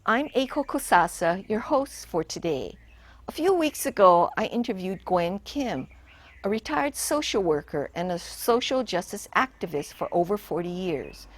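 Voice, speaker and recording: A woman hosting an interview show, reading a measured introduction and then prompting her guest with frequent affirmations. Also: medium pitch, very animated. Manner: warm, encouraging listener with frequent affirmations. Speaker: podcast host and interviewer.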